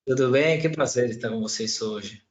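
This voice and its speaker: Argentine man, mid pitch, chatty panel moderator on a video call, echoey room. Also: moderate pace. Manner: chatty, loose, many fillers. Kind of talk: hosting a live online panel.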